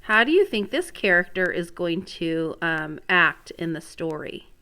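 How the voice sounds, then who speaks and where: A woman teacher reading a storybook aloud in an expressive, instructional way, prompting listeners with questions. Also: lowish voice, measured pace, clear, resonant tone. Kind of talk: interactive storybook read-aloud lesson.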